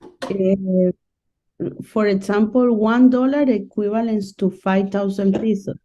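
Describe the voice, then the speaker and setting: A woman from Colombia speaks English with a Colombian Spanish accent. Her voice is mellow and warm, and she explains things plainly in simple sentences. The sound is dull and lo-fi. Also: mid-range voice, slow pace. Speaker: guest. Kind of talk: casual conversation.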